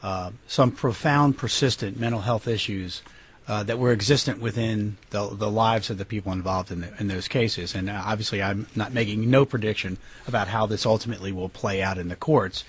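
A man with an American accent and a slightly rough voice gives unscripted answers in an interview. He speaks in a halting way, doubling back on words to press his point. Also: lowish voice. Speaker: interview guest, county prosecutor.

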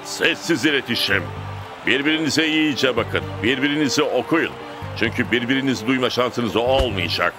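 A man's voice: medium pitch, normal speed, lightly acted. A man playing a firm, encouraging football coach in a Turkish cartoon dub, instructing and motivating. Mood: instructive. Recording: loud music behind.

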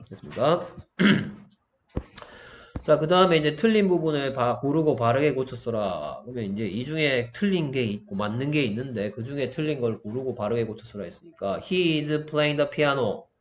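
A man's voice: medium pitch, slight background noise. Man teaching a grammar lesson. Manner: frequent check-in questions. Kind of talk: explanatory lesson with questions to students.

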